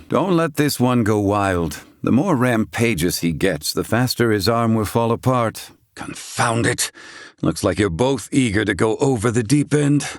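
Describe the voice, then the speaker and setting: A man's voice: mid-range voice, dry recording, studio quality. An actor playing a proud, grumpy, brainy older man in a video game, with pompous, blustering, wordy old-fashioned diction. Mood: stern, determined. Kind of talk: dramatic English-dub game acting.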